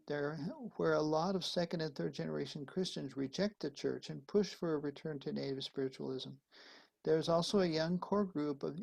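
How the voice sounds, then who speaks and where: A man's voice: fairly high pitch, creaky, poor audio. A man, a priest, reading a letter aloud and hosting guests in a sermon conversation on a video call. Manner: reads a letter aloud, then hosts and thanks guests. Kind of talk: live-streamed sermon conversation.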